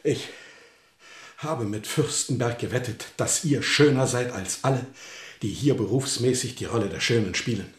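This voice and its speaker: An actor playing an agitated, jealous count in a German radio drama, theatrical and swinging between hushed remorse and forceful command. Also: male voice, mid-range voice, moderate pace, reverberant, close to an everyday voice. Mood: bitter regret. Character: agitated jealous count, a husband regretting a reckless wager.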